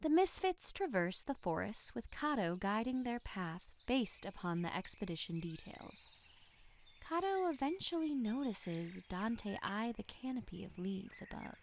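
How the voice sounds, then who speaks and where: Mid-pitched woman narrating fantasy. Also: sing-song, unhurried, slight background noise. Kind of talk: scripted fantasy audio drama narration.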